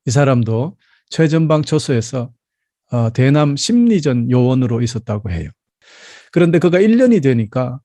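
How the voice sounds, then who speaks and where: A South Korean man in his fifties delivers a sermon with a soft, mellow tone at an average male pitch. He tells stories from his own life in a measured, explanatory manner, recorded close and dry with little room sound. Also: no room sound. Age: 50s. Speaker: pastor. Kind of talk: Sunday sermon with personal storytelling.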